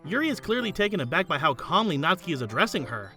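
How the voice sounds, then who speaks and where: A woman voicing an earnest, kindly high school student who narrates in the first person in a visual novel fan dub. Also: sing-song, fast talker, pushed and bright, soft background music. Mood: narrating, observant.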